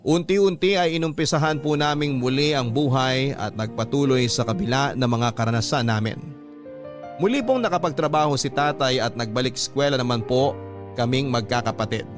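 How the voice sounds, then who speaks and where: A man narrating a scripted letter as a radio host, in a classic storytelling style. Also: medium pitch. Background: background music.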